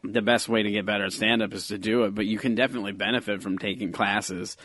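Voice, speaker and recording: A man hosting a comedy podcast, easygoing and quick to laugh along with his guest. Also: fairly low pitch, steady tone, a bit bright, slight background noise. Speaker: podcast host. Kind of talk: casual podcast chat.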